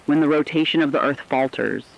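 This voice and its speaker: A man's voice: fairly high pitch, a little fast, vocal fry. A man playing a solemn, compassionate radio broadcaster in humanity's final hours, with earnest, careful phrasing in a scripted radio drama. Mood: solemn, tender. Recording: dull, muffled audio.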